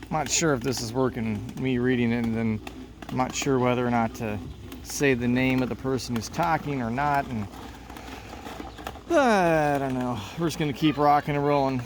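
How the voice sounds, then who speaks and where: A man's voice: medium pitch, normal speed. A man reading a play script aloud as narrator, including stage directions, in the manner of a teacher. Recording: clear background noise.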